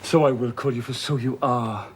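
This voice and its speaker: An actor playing a brooding, passionate gentleman who is master of the house, speaking intensely and pleading, from low murmurs to outbursts, in a period film drama. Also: fairly even intonation, moderate pace, slight background noise, natural voice.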